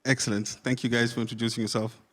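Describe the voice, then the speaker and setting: A man's voice: mid-range voice, little pitch movement, a little hiss or hum. A man hosting a virtual panel discussion, introducing it in a friendly way with plenty of hesitations. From Finland. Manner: friendly, halting with fillers. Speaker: panel host.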